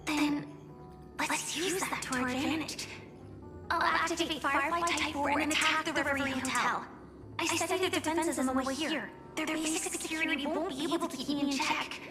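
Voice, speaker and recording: A woman playing a gentle, determined young female warrior in a video game, earnest and soft-spoken. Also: high-pitched, steady tone, normal speed, over loud music, lightly acted. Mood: determined, planning.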